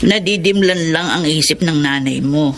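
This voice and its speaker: Low, halting Filipino grandmother. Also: noisy background. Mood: soothing, pleading. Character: gentle, pious old grandparent, soothing and forgiving. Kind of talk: Filipino radio drama acting.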